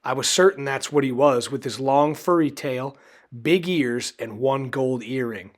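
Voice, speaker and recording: A man reading a children's storybook aloud in an expressive, dramatic way. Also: mid-range voice, a little slow. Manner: expressive, dramatic delivery with acted character voices. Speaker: storybook reader.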